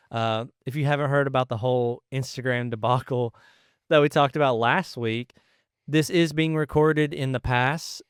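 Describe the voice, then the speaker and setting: A man's voice: medium pitch, clean tone, no echo, studio quality. A man chatting casually as the co-host of a podcast. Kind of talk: casual chat.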